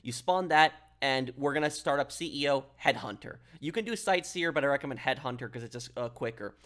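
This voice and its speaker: A man's voice: mid-range voice, brisk, a bit bright. A man giving a casual, step-by-step gameplay tutorial in an instructional way, like an online gaming guide host.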